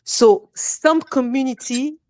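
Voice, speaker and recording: A native French-speaking woman with a French accent, talking earnestly and casually as a community ambassador in halting, non-native phrasing. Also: high voice, darkish tone, no echo. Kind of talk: casual, unscripted talk.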